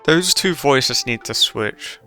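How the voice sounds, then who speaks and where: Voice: mid-range voice, lively intonation, takes it slowly, music in the background. A game streamer giving casual, rambling live commentary in a conversational way.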